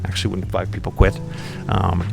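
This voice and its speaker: Low gravelly-voiced man with music behind. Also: completely flat delivery, a little fast. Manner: thoughtful, many ums and restarts. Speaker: podcast guest, company founder. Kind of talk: relaxed interview conversation.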